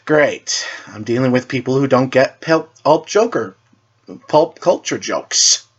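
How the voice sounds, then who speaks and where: A man's voice: medium pitch, lively intonation, a little slow, breathy edge, muffled recording. A man voicing a cocky, flippant teenage male superhero who stammers and wisecracks in an audiobook-style story. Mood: flippant, exasperated.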